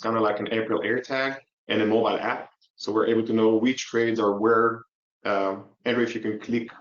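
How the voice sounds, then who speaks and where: A man from Israel, a company co-founder and CEO, presenting a webinar in a brisk, friendly way with clipped phrases. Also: fairly low pitch. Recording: roomy, dull lo-fi webinar audio.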